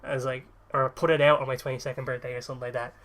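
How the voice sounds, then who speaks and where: A man's voice: mid-range voice, flat delivery, racing, fairly bright tone. A man in his early twenties hosting a podcast with a cold, rambling, laughing and swearing freely. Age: early 20s. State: sniffly with a cold. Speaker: podcast host. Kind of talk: casual podcast chat.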